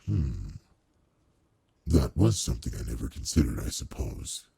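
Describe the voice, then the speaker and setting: A man voicing a commanding, old-fashioned demon lord in a roleplay audio drama, speaking formally and with measured authority to one servant, with quiet care underneath. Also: sing-song, no echo, heavily acted. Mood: thoughtful, caring. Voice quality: very deep, gravelly, husky.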